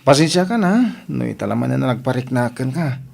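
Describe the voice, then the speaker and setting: A man's voice: medium pitch, big pitch swings, brisk, gravelly, a little mellow, exaggerated voice. A man playing a busy, patient and apologetic suitor from work in a radio drama, with a Philippine accent. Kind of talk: Ilocano comedy radio drama acting.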